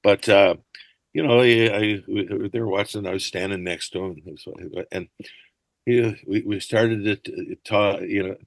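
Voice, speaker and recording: A man's voice: on the low side, steady tone, unhurried, creaky, no room sound. An older man chatting as a podcast guest, telling rambling stories and dropping into accent impressions now and then. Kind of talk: casual interview chat.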